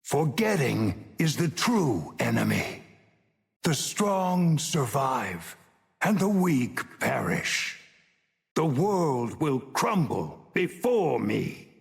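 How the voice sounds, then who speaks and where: A man's voice: mid-range voice, sing-song, extremely slow, gravelly, full character voice. A man playing an ancient, imperious sage with divine power in a video game, speaking in stern, solemn, grandiose proclamations. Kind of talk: theatrical game voice lines. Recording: long cavernous reverb on the voice.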